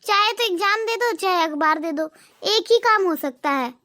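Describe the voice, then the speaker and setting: A curt young housewife in a cartoon moral story, voiced by a woman. Her voice is extremely high, and she asks questions sharply. The sound is dry and close. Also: animated, moderate pace, pushed and bright, no echo, heavily acted. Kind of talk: cartoon voice acting.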